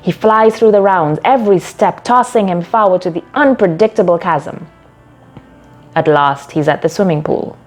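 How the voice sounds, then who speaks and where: Low-pitched woman narrating over music. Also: normal speed. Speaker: storyteller and narrator. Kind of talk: scripted short story narration. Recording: music bed under the voice. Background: background music.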